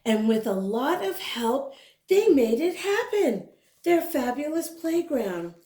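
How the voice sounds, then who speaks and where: A woman reading a storybook aloud to young children, expressive and full of exclamations, with chatty asides to her listeners. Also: sits high, slow pace. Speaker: children's storybook reader and channel host. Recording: roomy, echoing sound.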